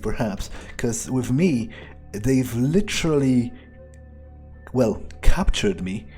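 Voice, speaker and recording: A man improvising the thoughts of a cynical, power-loving vampire during a game playthrough, rambling and haltingly sardonic, with some swearing. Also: medium pitch, mellow, natural voice. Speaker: player of a video game, speaking in character.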